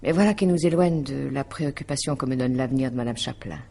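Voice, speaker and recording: An actress playing a composed, persuasive astrologer in a French radio drama, speaking in a measured, explanatory way. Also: female voice, a bass voice, creaky, dark tone, slight background noise. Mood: grave, insistent. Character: composed, persuasive female astrologer consulting a client. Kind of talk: 1950s-style French radio drama acting.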